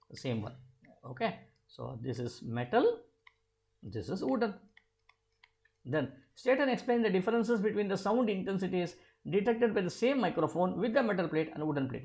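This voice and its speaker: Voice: medium pitch, vocal fry. A physics teacher walking through an exam problem step by step, asking and answering their own questions and repeating key phrases.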